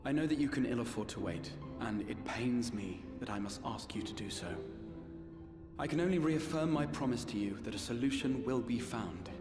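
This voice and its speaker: Courteous fantasy game leader, male actor: measured, sincere, formal, mid-range, roomy echo over music. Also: mid-range voice, animated, lightly acted. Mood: regretful, sincere. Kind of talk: scripted video game voice acting. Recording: echoey reverb under game music.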